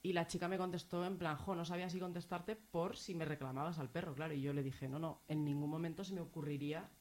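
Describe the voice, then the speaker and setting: Fast-talking Manchegan woman, low voice. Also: steady tone, a little mellow. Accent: Spanish from La Mancha. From La Mancha, Spain. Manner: emotional, rambling personal storytelling. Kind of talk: casual radio chat.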